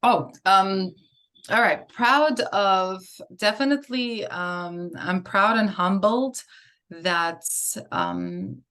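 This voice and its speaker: A woman's voice: medium pitch, extremely slow, a bit bright. A woman in her forties, from Portugal, answering interview questions in a hesitant way, with many fillers and restarts. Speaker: interview guest. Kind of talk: casual interview conversation.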